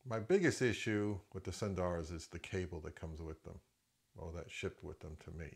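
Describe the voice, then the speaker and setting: A man's voice: deep voice, normal speed, vocal fry, a little hiss or hum. A middle-aged man giving a casual, opinionated product review as an audio gear reviewer, in a conversational way.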